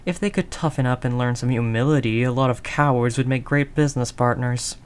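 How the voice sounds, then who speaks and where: A man's voice: mid-range voice, a little fast, clear, resonant tone, natural voice. Man acting as a doting streetwise mafia boss. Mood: scornful, calm. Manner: streetwise slangy talk with pet names, teasing. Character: doting, world-weary young mafia boss, tough but tender. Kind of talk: intimate scripted roleplay audio drama monologue.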